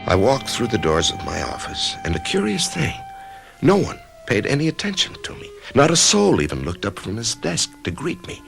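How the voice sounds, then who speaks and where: A man's voice: mid-range voice, wildly swooping pitch, normal speed, creaky, fairly bright tone, soft background music, full character voice. An actor playing a troubled middle-aged office man who narrates his own story in a 1990s radio drama, hesitant and brooding. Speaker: narrator-protagonist.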